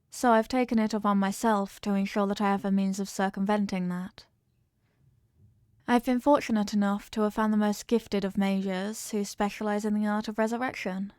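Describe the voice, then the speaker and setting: A woman voicing a possessive, regal evil queen in a scripted ASMR roleplay, speaking formally and in measured phrases, doting yet coolly manipulative. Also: medium pitch, no echo, studio quality, lightly acted. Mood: tender, solemn. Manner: formal, measured, softly commanding.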